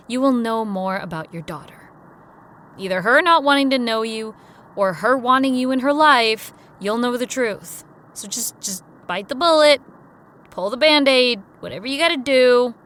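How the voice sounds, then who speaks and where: Woman playing kara, a young woman, bright clear voice, teasing and upbeat. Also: on the high side. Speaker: lead character. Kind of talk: audio drama acting.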